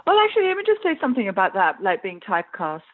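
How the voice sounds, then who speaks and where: A woman's voice: medium pitch, wildly swooping pitch, very fast. A woman from Iran with an English accent, an actress chatting casually in an interview, with many fillers and frequent laughs. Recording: tinny phone-like line.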